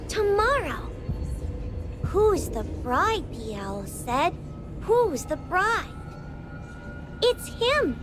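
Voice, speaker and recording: An excitable young anime game heroine with a very high voice. Her delivery is theatrical and swings widely in pitch, though she takes her words at an easy pace. Music plays behind her. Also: heavily acted. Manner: emphatic, exclamatory, dramatic. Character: excitable, childlike young female detective game character. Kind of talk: animated anime game voice acting.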